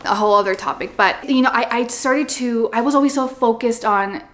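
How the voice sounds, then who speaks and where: A woman's voice: a little high, a little fast, a bit bright. A young woman from Los Angeles, chatting casually to the camera at home, rambling and bubbly with lots of fillers. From Los Angeles, USA. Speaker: vlogger. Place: her home, indoors. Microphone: phone or camera on a stand.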